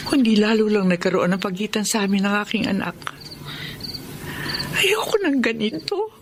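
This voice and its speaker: A woman's voice: medium pitch, expressive, normal speed, noisy background, lightly acted. A woman playing a proud, stubborn, wounded middle-aged mother in a Filipino radio drama, speaking with a Filipino accent. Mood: wounded regret.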